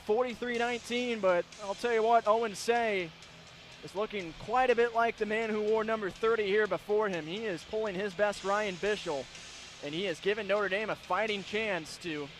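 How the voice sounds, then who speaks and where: High-pitched man calling live hockey. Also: fairly bright tone. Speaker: radio play-by-play announcer. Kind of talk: live ice hockey commentary. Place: broadcast position in an indoor hockey arena. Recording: live broadcast with clear arena noise.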